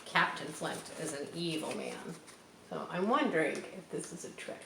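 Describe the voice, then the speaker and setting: A woman's voice: low-pitched, animated, vocal fry, bright, forceful tone. A woman reading a story aloud to listeners, stopping to explain words and details in an explanatory way. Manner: explanatory, checking understanding, pausing to clarify words. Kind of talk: read-aloud storytelling with teaching asides. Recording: echoey room with faint background noise.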